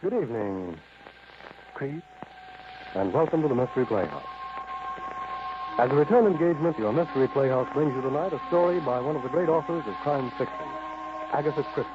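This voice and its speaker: A man's voice: medium pitch, animated, a little fast, long pauses, lightly acted. A man playing a sly, formal mystery playhouse host, announcing in 1940s radio style on an old lo-fi recording. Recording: dull, lo-fi old radio recording, music behind.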